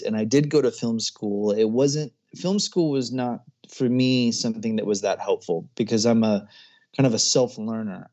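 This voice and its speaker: A man's voice: fairly low pitch, mellow, highs cut off. A man in his thirties, a filmmaker guest in a casual interview, chatty and often laughing as he talks. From grew up overseas, American parents.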